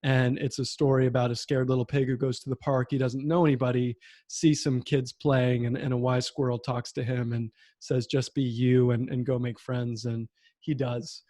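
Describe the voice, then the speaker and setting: A man in his thirties, a podcast host, telling his own story in a reflective way with many pauses and fillers over a video call. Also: medium pitch, level tone, dark tone, dry recording, clean studio sound. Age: 30s. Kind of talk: conversational interview. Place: home room with bookshelf.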